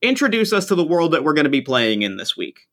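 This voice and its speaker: A man hosting a tabletop game podcast, chatting casually and eagerly, and saying again and again how excited he is. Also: fairly high pitch, fast. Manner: eager, gushing, repeats that he is excited. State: has a cold, losing his voice. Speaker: podcast host. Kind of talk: casual chat.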